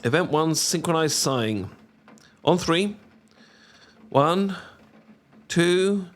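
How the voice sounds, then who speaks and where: A young British man in an intimate boyfriend roleplay, talking casually to the listener in a teasing, affectionate, sometimes halting and bashful way. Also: on the high side, lively intonation. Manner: gently teasing, playful, affectionate. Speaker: audio roleplay performer.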